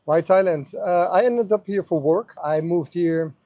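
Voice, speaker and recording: A man's voice: sits high, measured pace, dark tone, slight background noise. A man with a Dutch accent, a street interviewee who talks hesitantly with many fillers. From Netherlands. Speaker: interviewee, software company manager.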